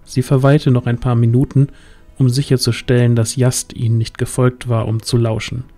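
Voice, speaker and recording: A man's voice: mid-range voice, flat delivery, normal speed, warm tone. A man narrating a scripted fantasy audio drama as its storyteller. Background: music.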